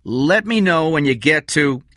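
A man's voice: on the high side, animated, a little slow, fairly bright tone, dry recording, pitched above the performer's own voice. A man reading a story and voicing a stubborn, driven man who gives clipped, insistent orders. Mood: commanding.

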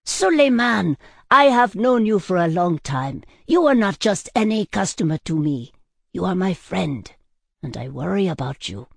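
A man's voice: on the high side, animated, normal speed, creaky, darkish tone, slightly rough, no echo, clean studio sound, exaggerated voice. A man voicing a blunt but kindly tavern owner, exasperated and protective, in an audiobook style. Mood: earnest concern. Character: blunt, worried, kindly male tavern owner, scolding a friend. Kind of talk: audiobook character acting.

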